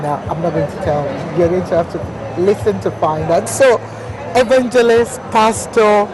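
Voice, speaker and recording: A woman's voice: fairly low pitch, normal speed, a crowd in the background. A woman talk show host interviewing a guest at a mall expo, effusive and full of praise. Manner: effusive, says "wow" and "blessed" often. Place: busy shopping mall expo. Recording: noisy background ambience.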